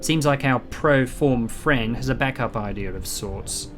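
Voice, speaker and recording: A man playing a quick-witted, protective time-travelling adventurer in a fan audio drama, wry, chatty and cheekily defiant. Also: medium pitch, fairly bright tone, soft background music, lightly acted. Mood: wry, probing.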